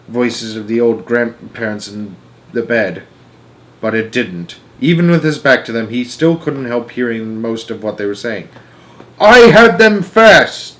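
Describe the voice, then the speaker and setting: A man reading a children's story aloud as an expressive narrator. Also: medium pitch, a little hiss or hum, low-quality recording.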